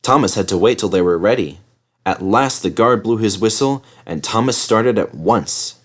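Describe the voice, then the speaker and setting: A man's voice: fairly low pitch, lively intonation, moderate pace. A man narrating a children's story as a scripted read-aloud. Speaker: storybook reader.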